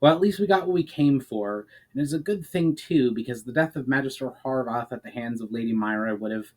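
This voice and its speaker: A man's voice: mid-range voice, brisk, darkish tone, lightly acted, rough recording. Man voicing a tall, formal fantasy mage. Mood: calming. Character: tall calm male mage, urgent peacemaker.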